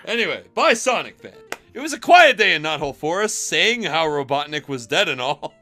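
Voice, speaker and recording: Guy reading fanfic on stream, super animated, sharp forceful voice, keeps slipping in silly asides. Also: fairly high pitch, a little fast. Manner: theatrical delivery, breaks into giggles and asides. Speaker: streamer reading fan fiction aloud. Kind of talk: dramatic, mocking story reading on a casual stream. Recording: music behind the voice. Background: music.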